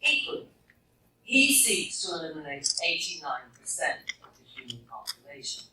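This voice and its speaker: A woman's voice: sits low, very animated, normal speed. Woman acting haughty gargoyle villainess, dramatically swooping voice. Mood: mocking menace. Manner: haughty, sardonic, commanding. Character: imperious, cruel female gargoyle villain who despises humans. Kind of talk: live radio play acting. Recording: roomy, distant, noisy live fan recording.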